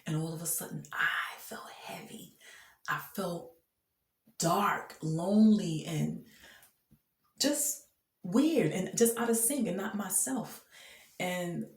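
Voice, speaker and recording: A woman's voice: fairly low pitch, slow, long pauses, echoey room. A woman telling her faith testimony in an earnest, emphatic way, often laughing between thoughts. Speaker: person sharing a faith testimony. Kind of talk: heartfelt personal testimony, casual.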